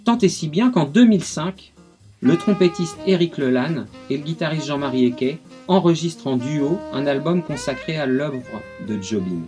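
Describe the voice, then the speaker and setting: A man's voice: on the high side, lively intonation, normal speed. A man giving a radio music history segment, telling the story with enthusiasm.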